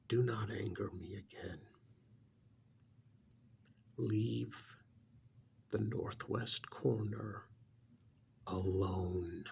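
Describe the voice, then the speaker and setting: A man voicing an ancient, contemptuous creature in a stolen human body, in a menacing, breathy, gravelly ASMR roleplay monologue. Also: lowish voice, a little hiss or hum, heavily acted. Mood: menacing, stern. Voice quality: gravelly, husky, breathy half-whisper. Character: ancient disdainful male creature possessing a dead man's body.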